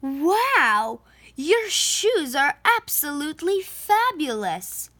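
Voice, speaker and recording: A woman's voice: high-pitched, sing-song, slow pace, bright, forceful tone, pitched above the performer's own voice, exaggerated voice. A woman voicing a friendly, enthusiastic female artist in a children's storybook, effusive and encouraging. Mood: excited, encouraging.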